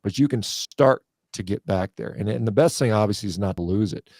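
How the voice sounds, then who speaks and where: A man's voice: fairly low pitch, a little fast, darkish tone, dry recording. A man in his late fifties speaking bluntly and emphatically as a guest in a live, unscripted interview. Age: late 50s.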